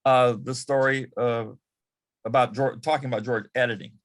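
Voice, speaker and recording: A man speaks as a panel guest, sharing stories from his time as an assistant. His delivery is halting and conversational, with phrases doubled and sentences begun again. His pitch sits in the middle range for a man. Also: steady tone. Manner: halting, repeats words and restarts sentences often. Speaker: panel guest, former personal assistant to an entertainer. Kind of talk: anecdotal storytelling in a panel interview.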